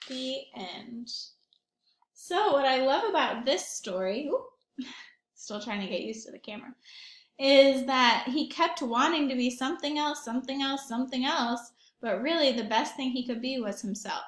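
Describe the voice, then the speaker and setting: A woman's voice: high voice, lively intonation, normal speed, reverberant. A woman reading a picture book aloud to young children as a teacher would, expressively, with questions and asides to her listeners.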